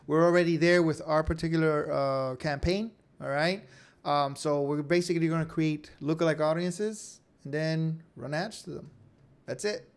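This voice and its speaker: Male online course instructor, voice a touch high, conversational tutorial delivery with frequent check-ins. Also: moderate pace, clean tone. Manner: conversational, repeatedly checks in with "okay?" and "right?". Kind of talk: informal screen-share tutorial.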